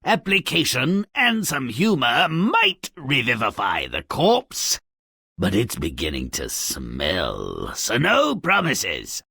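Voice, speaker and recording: A man voicing a gleefully nasty, sardonic gnomish narrator in a fairy-tale video game, with a raspy, gravelly voice and a theatrical, drawling delivery full of relish. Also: medium pitch, wildly swooping pitch, takes it slowly, bright, forceful tone, no echo, studio quality, full character voice. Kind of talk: theatrical scripted storybook narration.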